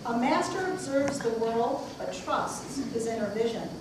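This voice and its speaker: A woman's voice: fairly high pitch, animated, unhurried. Woman as a solemn storyteller, strongly echoing. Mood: solemn, calm. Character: solemn storyteller imparting calm wisdom. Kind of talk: scripted puppet show narration. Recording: very echoey, distant, background noise.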